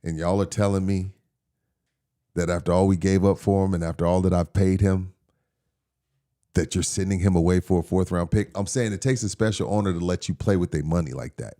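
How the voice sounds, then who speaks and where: A man co-hosting a casual sports talk show, animated and profane, riffing in character as a team owner. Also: deep voice, a little fast. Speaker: co-host.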